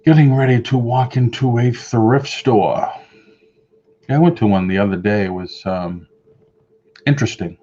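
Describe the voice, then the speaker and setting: A man's voice: medium pitch, moderate pace, long pauses, dark tone, muffled recording. A middle-aged man with a Boston accent, chatting casually on a home live stream, rambling and answering viewer comments. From Boston area. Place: home room with shelves of collectibles.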